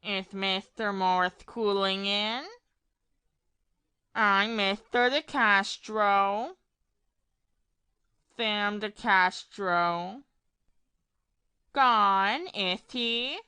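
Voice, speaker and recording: A woman acting a man who puts on another gentleman's voice, politely and slyly inquisitive, in an audio play. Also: medium pitch, very slow, long pauses, clear, resonant tone, no echo. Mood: sly, probing.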